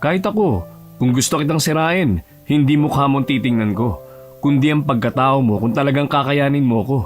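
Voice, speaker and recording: A man with a Filipino accent playing an aloof, blunt young bachelor with a calm, serious manner in an audio romance drama. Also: mid-range voice, moderate pace, faint music behind, lightly acted. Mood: blunt, firm.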